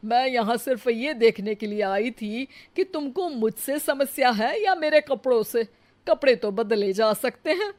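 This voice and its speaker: A woman's voice: on the high side, animated, measured pace, darkish tone. A woman voicing a simple, proud middle-aged mother-in-law in a cartoon moral story, homely, plain-spoken and emphatic. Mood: indignant.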